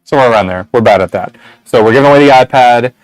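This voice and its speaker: A man's voice: mid-range voice, rapid-fire, smooth, dry recording, low-quality recording. A young man with a nasal voice, hosting a casual shop livestream and rambling through giveaway details with a lot of "right?".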